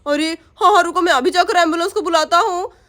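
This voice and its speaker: A woman's voice: very high voice, fast, fairly bright tone. A woman voicing a worried middle-aged father who keeps reassuring his hurt wife, soothing and urgent.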